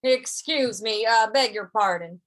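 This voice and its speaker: Woman as a slow, hesitant western cowhand. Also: a little high, fairly bright tone, poor audio. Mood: hesitant, polite. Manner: hesitant, stammering, drawn-out. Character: hesitant, apologetic western cowhand judging preserves. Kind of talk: old-time western radio play acting.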